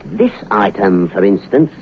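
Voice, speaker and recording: A man's voice: fairly low pitch, wildly swooping pitch, unhurried, creaky, heavily acted. A man acting a polite, discreetly conspiratorial hotel waiter in a 1940s radio drama. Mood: deferential, discreet. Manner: polite, servile, hesitant. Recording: muffled old radio recording, faint noise.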